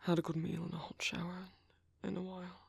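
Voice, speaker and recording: A British man playing a gentle, protective vampire priest in an ASMR roleplay, speaking in hushed, hesitant, soothing whispers. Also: high voice, little pitch movement, fast talker, creaky, slightly rough, no room sound, exaggerated voice. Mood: gentle reassurance. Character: gentle, soft-spoken male vampire priest, protective caretaker.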